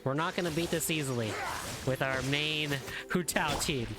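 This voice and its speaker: A man's voice: on the high side, moderate pace. A young man giving casual reaction commentary as he streams a video game. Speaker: gaming streamer. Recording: game music playing behind voice. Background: loud game music and sound.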